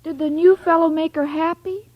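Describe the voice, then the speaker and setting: A woman's voice: very high voice, steady tone, moderate pace, muffled recording. An actress playing a sweet, dim-witted young woman in an old radio sitcom, earnest and naive, with a girlish voice. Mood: puzzled. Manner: naive, earnest delivery of muddled sayings. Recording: noisy old radio recording, loud audience.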